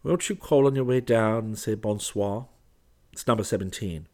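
A man voicing an earnest, timid young artist in love in an audiobook, speaking emotionally and haltingly. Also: low-pitched. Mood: tender, earnest.

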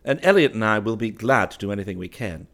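A man's voice: on the low side, expressive, quick pace, a bit bright. A man voicing an easygoing young male art student, breezy and nonchalant. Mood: friendly.

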